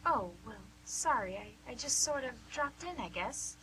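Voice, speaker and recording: A woman's voice: high-pitched, animated, a little slow. A woman playing a perky, flustered and apologetic visitor in an amateur radio play, hesitant and scatterbrained. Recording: hum and faint background noise.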